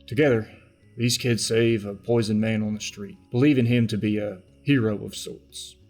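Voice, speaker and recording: A man's voice: fairly low pitch, steady tone, moderate pace, a little mellow, soft background music. A man giving dramatic, scripted narration for a fantasy podcast trailer.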